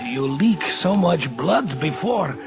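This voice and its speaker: High, creaky Mexican carousel operator, loyal and humble, broken English, swooping delivery, old radio drama. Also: male voice, big pitch swings, slow pace, heavily acted. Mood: soothing. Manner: broken English with Spanish words. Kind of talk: 1940s radio acting. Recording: muffled vintage radio recording with music.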